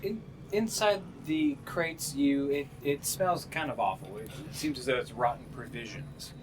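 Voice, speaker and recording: A man running a tabletop fantasy game, improvising narration and piecing his descriptions together haltingly. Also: mid-range voice, background noise. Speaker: game master.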